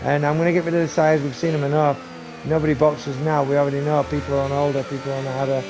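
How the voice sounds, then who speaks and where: A man giving live commentary on a sim race, talking to viewers and losing his voice, with race car engines loud behind him. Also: fast. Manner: excitable, talks to viewers, rising to high bursts on action. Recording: noisy stream with engine sound. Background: race car engine noise.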